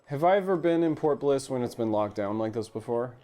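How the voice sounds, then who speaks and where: A man with a medium-pitched voice plays a tabletop fantasy game. He talks in a casual, everyday way, as one player among friends. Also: animated, brisk, mellow.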